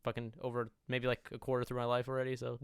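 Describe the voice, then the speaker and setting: A man in his early twenties talks fast in a relaxed podcast chat and swears freely. His voice is clear and smooth, recorded dry and close. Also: mid-range voice, no room sound. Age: 20s. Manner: quick, swears, laughs a lot. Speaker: podcast co-host. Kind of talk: casual chat.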